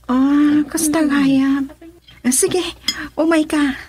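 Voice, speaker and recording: An actress playing a courteous young hostess with an Ilocano accent in a radio drama. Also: female voice, high-pitched, steady tone, slow pace, darkish tone. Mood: hospitable. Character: courteous young woman hosting party guests. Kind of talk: Filipino radio drama acting.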